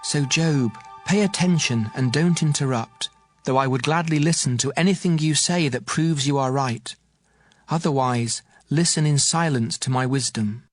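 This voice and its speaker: A man's voice: mid-range voice, moderate pace, no room sound, studio quality, natural voice. An actor playing an earnest young man who admonishes his elders in an emphatic, declamatory way, in a dramatized audio Bible. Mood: firm, admonishing. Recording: music behind the voice.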